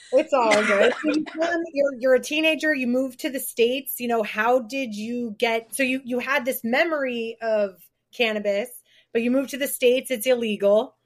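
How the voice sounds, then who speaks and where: A woman's voice: on the high side, a little slow, slight background noise. A woman co-hosting a podcast, asking questions in an encouraging, gushing way. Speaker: podcast co-host. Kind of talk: casual interview chat.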